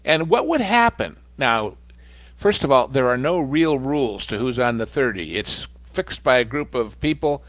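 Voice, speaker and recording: An animated man co-hosting a casual commentary, jokey and quick to restart his words. His pitch leaps around a lot. The recording is dry and close. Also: no room sound. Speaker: podcast co-host.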